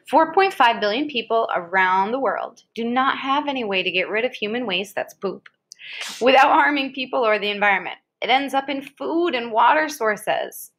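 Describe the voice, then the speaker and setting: Woman, American, slightly high voice, reading nonfiction aloud conversationally with brief informal asides. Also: fairly bright tone. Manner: conversational, interjects short reactions. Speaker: reader of an article aloud to a child. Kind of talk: read-aloud of an educational article with casual asides.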